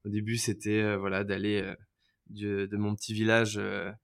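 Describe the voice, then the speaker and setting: A man's voice: on the low side, completely flat delivery, moderate pace, creaky, clean tone. A man in his thirties from France, a podcast guest telling his life story in a casual, hesitant way with many fillers and restarts. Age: 30s.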